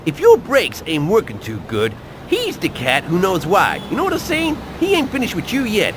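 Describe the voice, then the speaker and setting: A man's voice: high voice, normal speed, background noise. Swooping, jive-talking male informant. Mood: confiding warning. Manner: slangy, excitable, repeats verbal tags. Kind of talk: video game voice acting.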